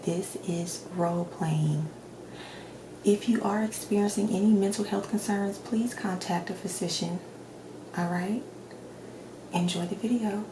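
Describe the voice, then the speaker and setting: A woman speaking softly and gently, often slipping into a whisper, in a measured ASMR roleplay as a doctor. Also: mid-range voice. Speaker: ASMR creator playing a doctor. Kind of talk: soft-spoken ASMR roleplay. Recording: roomy echo with clear background noise.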